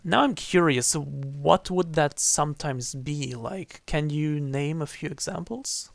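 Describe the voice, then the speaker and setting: Higher-voiced, creaky male game streamer. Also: slow, no echo. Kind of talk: casual livestream game commentary. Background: faint game music.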